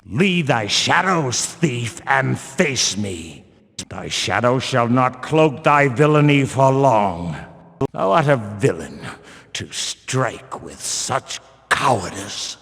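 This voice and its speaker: A zealous medieval temple guard from a video game, declaims in pompous archaic phrases. His stern, threatening voice has a husky rasp and a gravelly edge. He takes his time over the words, and they ring out in a long reverb. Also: medium pitch, animated, slow pace, fairly bright tone, exaggerated voice. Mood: menacing. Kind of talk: theatrical video game voice acting.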